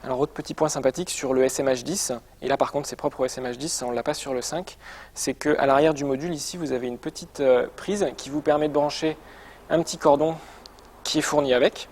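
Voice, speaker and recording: A man's voice: on the high side, steady tone, fairly quick, a little mellow. A man presenting products in an informal, semi-improvised demonstration, explaining in a conversational and somewhat hesitant way. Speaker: product presenter for a motorcycle gear shop.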